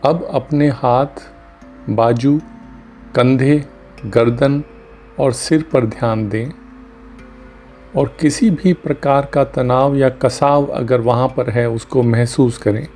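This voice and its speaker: A man leading a guided meditation as a doctor, speaking gently and calmly in short phrases with long pauses. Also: mid-range voice. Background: music under the voice.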